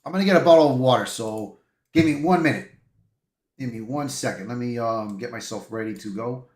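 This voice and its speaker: A man's voice: medium pitch, brisk, a little mellow, echoey room. A man hosting a livestream, reading articles aloud with casual, opinionated commentary and the odd stumble over words. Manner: informal, opinionated, punctuated with "bro", "man" and "dude". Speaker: livestream host.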